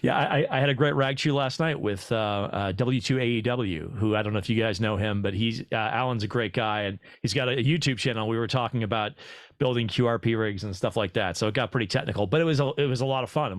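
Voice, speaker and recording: A man's voice: on the low side, fast talker, no echo. Chatty man on a dry call. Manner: chatty, stumbles over words, laughs easily. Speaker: club instructor. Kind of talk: casual group video-call discussion.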